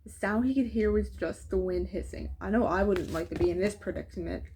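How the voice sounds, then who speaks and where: A woman's voice: mid-range voice, brisk, dark tone, slight background noise. A woman reading a rhyming children's story aloud, with casual asides as she goes. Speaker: storybook reader.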